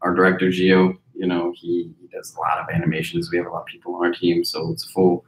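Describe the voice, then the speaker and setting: A man's voice: deep voice, monotone, fast talker. A man hosting an interview in a casual, slangy, conversational style. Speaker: interviewer, host. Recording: roomy, echoing sound.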